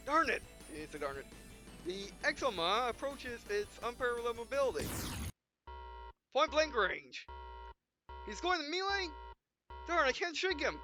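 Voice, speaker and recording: A man's voice: extremely high pitch, sing-song, measured pace, long pauses, music in the background. A man playing a visual novel, reading its text and punctuation aloud in a mock-dramatic way between casual remarks. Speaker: let's play gamer.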